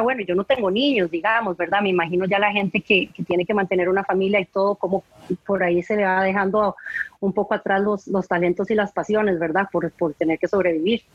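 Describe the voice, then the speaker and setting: A woman's voice: medium pitch, slightly rough, no echo, lo-fi sound. A woman in her forties with a Costa Rican accent, a guest in a casual interview, talking in a chatty way and often ending sentences with a questioning "right?". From Costa Rica. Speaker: guest, home organizing consultant.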